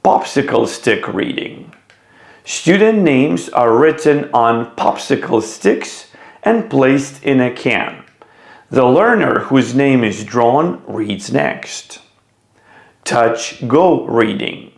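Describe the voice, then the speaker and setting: A man giving scripted educational narration as a teacher, in a measured, deliberate way. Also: medium pitch, vocal fry, reverberant. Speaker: teacher presenting an educational video.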